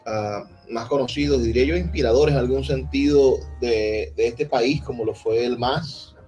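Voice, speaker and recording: A man introducing a speaker at a live event, in halting, deliberate phrases. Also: medium pitch, mellow, breathy edge, faint music behind, poor audio. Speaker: event presenter. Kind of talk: live introduction at a book presentation. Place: live public event in a theatre.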